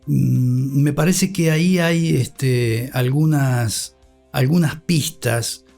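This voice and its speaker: An older man with an Argentine accent giving a reflective interview, articulate, with thoughtful halting pauses. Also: medium pitch, vocal fry, a little mellow, faint music behind. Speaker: interview guest, radio academic.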